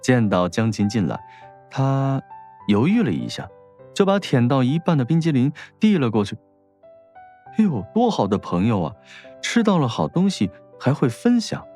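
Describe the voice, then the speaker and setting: A man's voice: medium pitch, wildly swooping pitch, moderate pace, dark tone, faint music behind. A man narrating a scripted audiobook story in a wry storytelling manner.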